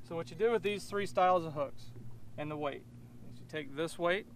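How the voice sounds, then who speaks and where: A man in his thirties giving a casual how-to fishing lesson on a boat, talking in a relaxed, matter-of-fact way as he rigs the bait. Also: a little high, normal speed, a little hiss or hum. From Central Florida. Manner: relaxed, matter-of-fact, thinks aloud while rigging. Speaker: fishing instructor. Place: outdoors on a boat on a lake.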